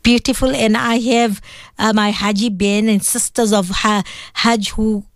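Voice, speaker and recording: A woman's voice: mid-range voice, fairly even intonation, unhurried, dry recording, studio quality. Middle-aged South African woman hosting. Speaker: interviewer and host. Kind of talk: conversational interview with personal reflection.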